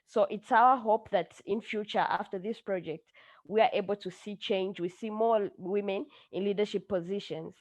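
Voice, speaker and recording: A woman from Zambia speaking earnestly, in a halting way with many fillers, over a video call. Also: medium pitch, dry recording. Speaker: judo association mentee presenting.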